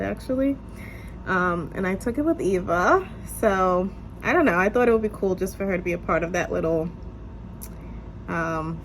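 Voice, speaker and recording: A woman's voice: medium pitch, a little hiss or hum, poor audio. Congested young woman chatting to camera. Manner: chatty, lots of "um" and "you guys". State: congested, a little nasal. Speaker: vlogger. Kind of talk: casual story time to camera. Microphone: camera on tripod.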